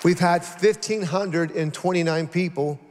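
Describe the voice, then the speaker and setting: A man's voice: high-pitched, a little slow, crowd noise, noisy background. A pastor addresses his congregation, a man with a slightly raised voice who speaks earnestly and emphatically. His sentences build into lists. Long reverb rings through a large hall around him.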